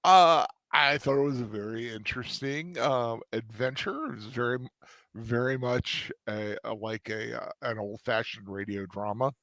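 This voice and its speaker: A man co-hosting a casual review chat, tired and sore, speaking haltingly with many restarts. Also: medium pitch, a bit bright, no echo. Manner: halting, many restarts and fillers, laughs easily. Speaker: co-host.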